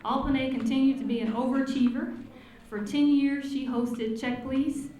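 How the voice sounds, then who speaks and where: A woman delivers a formal, scripted address, her voice sitting a bit high. The sound rings through a large hall with strong echo. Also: steady tone, moderate pace, warm tone, a little hiss or hum. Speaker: city commissioner introducing speaker. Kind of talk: prepared speech. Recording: echoey hall.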